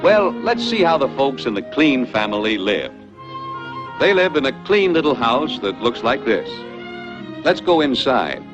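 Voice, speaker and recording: A dull, vintage film soundtrack with loud music carries a man's narration. His pitch moves in lively swings as he explains things in a folksy, didactic storytelling way, in the scripted style of a 1940s educational film. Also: measured pace.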